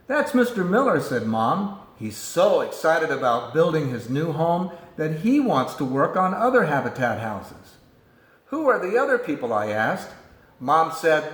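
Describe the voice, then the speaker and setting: A man reading a children's picture book aloud in an animated storytelling style. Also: on the high side, reverberant. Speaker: storybook reader.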